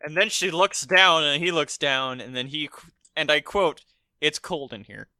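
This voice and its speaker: A man's voice: fairly high pitch, normal speed, sharp tone, no room sound. An American man co-hosting a podcast, chatting casually about a film in a rambling, profane storytelling way. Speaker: podcast co-host. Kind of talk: casual film review chat.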